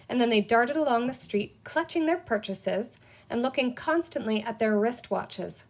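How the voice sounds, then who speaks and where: A woman narrates a children's storybook in a fairly high voice. She reads the scripted text expressively, as an audiobook reader. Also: a little mellow.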